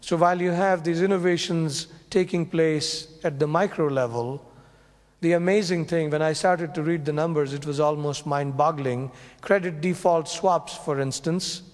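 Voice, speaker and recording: A male professor speaking on a panel, laying out his points in a measured, explanatory way. His voice sits a little high for a man. The sound carries the long reverb of a lecture hall. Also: a little mellow. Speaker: business school professor. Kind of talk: panel talk to an audience.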